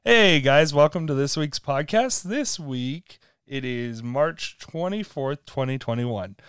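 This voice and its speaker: A man co-hosting a casual faith podcast, talking in an upbeat, quick way with plenty of fillers. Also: fairly high pitch, expressive, smooth, no echo. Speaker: podcast co-host.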